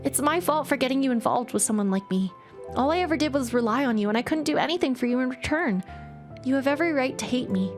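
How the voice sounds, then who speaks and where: A woman voicing a timid, guilt-ridden young woman who speaks haltingly and apologetically. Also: high-pitched, animated, faint music behind, voice pushed up. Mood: remorseful.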